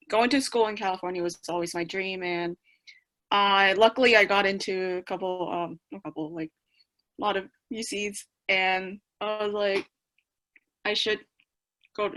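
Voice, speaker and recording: A woman's voice: medium pitch, pushed and bright. A woman in her early twenties from South Korea, answering interview questions casually as a college student on a video call from a small room. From South Korea, moved to the US at eleven. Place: small bedroom, indoors.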